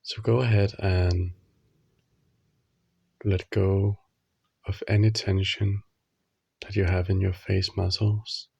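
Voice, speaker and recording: A man leading a guided sleep meditation in a soothing, instructional manner, leaving long pauses between short phrases. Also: sits low, little pitch movement, vocal fry, darkish tone, slightly rough, no echo, lo-fi sound.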